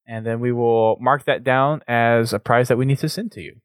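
A man's voice: mid-range voice, fairly even intonation, moderate pace, no echo. A man hosting a charity live stream, upbeat as he announces giveaway winners and sponsors. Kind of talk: casual stream chat.

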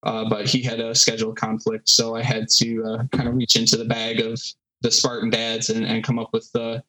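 A man in his thirties chatting casually as a podcast guest, halting with many fillers and self-deprecating jokes. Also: medium pitch, completely flat delivery, pushed and bright, rough recording. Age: 30s. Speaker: solo podcast host, guest.

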